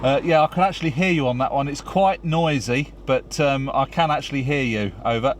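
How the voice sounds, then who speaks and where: Clear vehicle noise runs behind a man's mid-pitched voice. He talks casually, like a vlogger out testing radio gear, and moves between offhand commentary and procedural radio calls. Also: fairly quick. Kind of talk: casual vlog talk with radio check calls.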